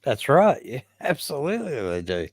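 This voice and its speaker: A man's voice: medium pitch, huge pitch swings, moderate pace, dry recording. An older man as a guest on a casual live-stream chat, easygoing and agreeable, calling the host mate.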